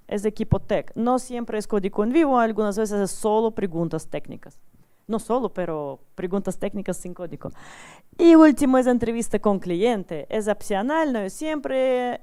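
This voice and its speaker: Non-native woman teacher with a strong accent, clear smooth voice, plain halting lecture delivery. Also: medium pitch, a little mellow. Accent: strong foreign accent in Spanish. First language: not Spanish. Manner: simple, broken Spanish with frequent "¿sí?" checks and restarts. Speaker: English teacher giving a talk.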